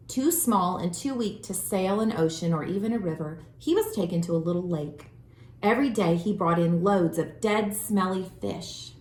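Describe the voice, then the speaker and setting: A woman reading a children's storybook aloud as a storyteller, with expressive, deliberate phrasing. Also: mid-range voice. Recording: roomy, echoing room sound.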